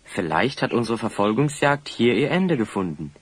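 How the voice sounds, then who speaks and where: An actor playing a sensible, friendly teenage boy hero, reasoning and gently chiding, in a radio play. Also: medium pitch, sing-song, moderate pace, slight background noise, lightly acted. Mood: curious.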